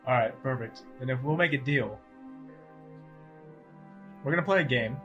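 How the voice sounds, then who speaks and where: A man's voice: medium pitch, bright, forceful tone, muffled recording, soft background music, natural voice. A man voicing a menacing spacer who taunts and mocks his enemy in a tabletop game. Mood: taunting. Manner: mocking, slow-burning menace.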